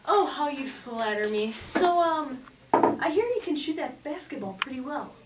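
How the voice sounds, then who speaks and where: A woman's voice: sits high, animated, moderate pace. A woman playing a flighty, flirtatious, slangy 1920s news anchor in an amateur mock newscast. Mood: gushing, scatterbrained. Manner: theatrical, slangy. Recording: echoey room, distant mic, faint noise.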